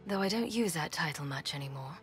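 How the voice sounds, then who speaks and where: A woman's voice: low voice, lively intonation, brisk, fairly bright tone, breathy edge, soft background music, exaggerated voice. An actress playing a resolute, world-weary female champion mage in a fantasy game, earnest and determined. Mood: wry, weary. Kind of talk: dramatic fantasy game acting.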